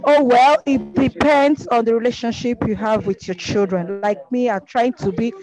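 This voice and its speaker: A woman speaking calmly and gently as a panelist on a video call. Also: a little high, moderate pace, rough recording. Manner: calm, gentle, explaining.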